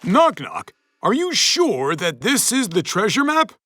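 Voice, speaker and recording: A man voicing a friendly, cautious, thoughtful moose with deliberate, theatrical delivery in a children's cartoon. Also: high voice, huge pitch swings, sharp tone, dry recording, studio quality, full character voice. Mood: wary. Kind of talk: animated children's cartoon voice acting.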